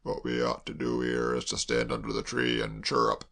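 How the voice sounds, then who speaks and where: A gloomy, ponderous manservant. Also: male voice, sing-song, creaky, fairly bright tone, exaggerated voice. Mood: mournful. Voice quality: deep, slow, heavy. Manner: mournful, dwells on disasters. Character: gloomy male servant, doom-laden and ponderous. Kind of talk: audiobook character voice.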